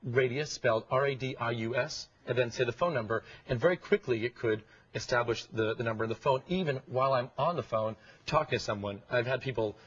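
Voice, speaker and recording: Husky man explaining in an interview. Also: mid-range voice, brisk. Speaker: guest expert on speech recognition. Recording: dull lo-fi broadcast audio.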